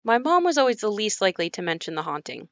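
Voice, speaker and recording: A woman's voice: mid-range voice, sing-song, quick pace, no room sound, highs cut off. A woman acting in an audio play as an uneasy daughter who recalls growing up in a haunted house. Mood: uneasy, reflective. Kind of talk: audio play monologue and dialogue.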